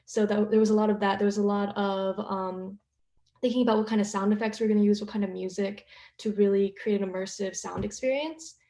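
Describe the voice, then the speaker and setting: Young woman explaining her writing, soft dark tone, halting and earnest, echoey room. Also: mid-range voice, steady tone. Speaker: playwright. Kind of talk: answering questions on a panel.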